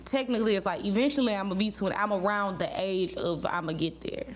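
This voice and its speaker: Woman late 20s, casual podcast banter, kinda teasing, regular pitch, hummy noisy recording. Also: fast talker. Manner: informal, playfully teasing. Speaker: podcast host. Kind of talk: casual couple banter and storytelling. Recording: clearly noisy with a hum.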